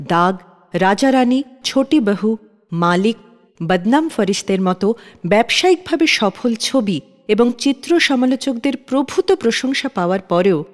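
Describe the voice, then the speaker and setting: Reverberant, lively woman narrator. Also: medium pitch. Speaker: radio show host. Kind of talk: scripted narration. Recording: long reverb on the voice.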